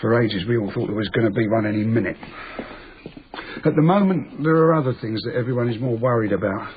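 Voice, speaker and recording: A man's voice: medium pitch, animated, fast talker, natural voice. Actor as a rambling, earnest man, tinny noisy recording. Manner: rambling, naive, matter-of-fact explaining. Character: rambling, earnest, simple modern working man explaining the world. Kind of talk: naturalistic radio drama dialogue. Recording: tinny lo-fi radio broadcast, noisy.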